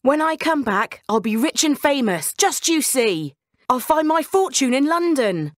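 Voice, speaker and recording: Woman playing young storybook boy, eager, hopeful, earnest, high, swooping intonation. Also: very animated, unhurried, no echo, studio quality. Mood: boastful, hopeful. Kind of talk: children's bedtime story character voice.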